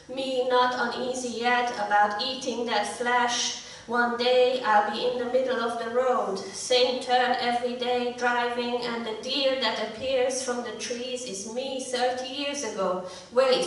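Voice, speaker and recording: A middle-aged woman with a slightly croaky voice, reading her poetry in a measured, deliberate way at a live event. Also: fairly high pitch, fairly bright tone. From Hungary. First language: Hungarian. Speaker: poet. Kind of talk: live poetry reading with short spoken introductions. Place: indoor live event room. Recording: echoey room, distant mic.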